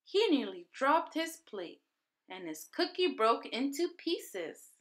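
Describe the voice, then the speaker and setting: A woman reading a children's picture book aloud as a storyteller, in an expressive, deliberate style made for young children. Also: high-pitched. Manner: deliberate, animated storytelling delivery.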